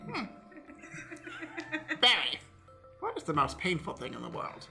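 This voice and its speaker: A woman playing an awkward, mildly put-out troll in an improvised comedy radio play, talking casually and haltingly. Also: sits low, huge pitch swings, gravelly, bright, forceful tone, exaggerated voice. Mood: quizzing, ceremonious. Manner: casual, halting, repeats words, odd swoops. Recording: music behind. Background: faint music.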